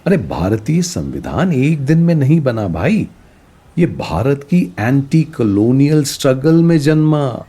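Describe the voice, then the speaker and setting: A man's voice: medium pitch, measured pace, breathy edge. A man with an Indian accent playing an enthusiastic, encouraging teacher who explains history conversationally in a radio play. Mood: enthusiastic, explanatory. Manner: animated, encouraging, asks rhetorical questions. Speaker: teacher and storyteller.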